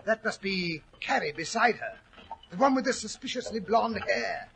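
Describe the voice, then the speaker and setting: An actor playing Doctor Watson, a hearty, puzzled, exclamatory English gentleman, in 1940s radio drama style. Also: high-pitched, expressive, moderate pace, vocal fry, slight background noise, heavily acted. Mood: curious, excited. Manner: blustery, exclamatory. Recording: old 1940s radio recording.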